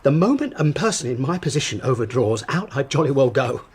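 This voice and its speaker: A man's voice: medium pitch, big pitch swings, a little fast, a little mellow, slightly rough. A man acting a querulous, pompous father fretting over money, spluttering with indignation, in a period British radio drama. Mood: anxious, querulous. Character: fretful, indignant suburban father drowning in debt.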